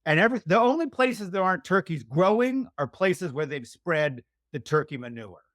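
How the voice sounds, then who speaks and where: A man's voice: sits high, sing-song, normal speed, a bit bright, dry recording, clean studio sound. A middle-aged man from Virginia's Shenandoah Valley, chatting as an interview guest in a rambling, self-deprecating, joking way. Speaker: guest author. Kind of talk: casual interview chat.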